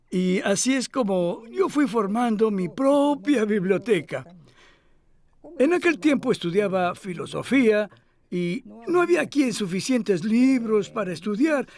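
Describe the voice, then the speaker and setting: A man dubbing an elderly male scholar who recalls his past calmly, in measured speech with hesitant pauses. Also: sits high. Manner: measured, thoughtful, with hesitant fillers. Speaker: dub voice of an interviewee. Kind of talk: voice-over dub of an interview.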